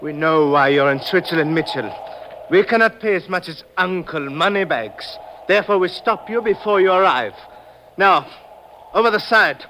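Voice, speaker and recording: An actor playing a menacing ex-soldier Alpine killer with a German accent, stiff and precise, in 1950s radio drama style. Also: male voice, high voice, expressive, moderate pace, bright, forceful tone. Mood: coldly menacing. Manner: stiff, precise, formal diction. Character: menacing Alpine cable car operator, ex-soldier turned hired killer. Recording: noisy old radio recording with vehicle ambience.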